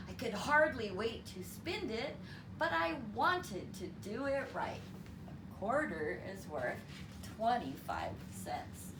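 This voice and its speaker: Female children's story reader, very expressive and unhurried, teacherly; recorded at a distance from the mic with noticeable noise. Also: a little high. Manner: animated, deliberate, teacherly delivery for children. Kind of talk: expressive read-aloud storytelling with counting lesson. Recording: roomy, distant mic with clear background noise.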